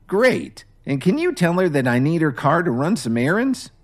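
A man's voice: fairly high pitch, sing-song, moderate pace, pitched above the performer's own voice. A man voicing a scornful older mother-in-law who scoffs and orders people around. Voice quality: scoffing, bossy.

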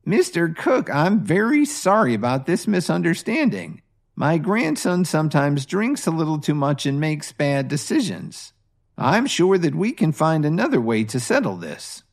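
A man voicing an older grandmother who apologizes and tries to smooth things over. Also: a little high, big pitch swings, normal speed, voice pushed up. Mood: placating. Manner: pleading, placating.

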